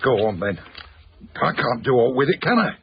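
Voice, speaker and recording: An earthy, bossy South Yorkshire shopkeeper in a radio play. He is curt and colloquial, his mid-pitched voice jumping about freely, on slightly muffled broadcast audio. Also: big pitch swings, normal speed, husky, heavily acted. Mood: haggling, grudging. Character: blunt, earthy, bossy chip-shop husband. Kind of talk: radio drama acting.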